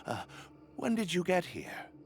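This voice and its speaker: A man's voice: sits high, huge pitch swings, a little slow, creaky, slight background noise, full character voice. A man playing a shifty, irritable, falsely polite expedition boss in a video game, with a nervous, evasive manner.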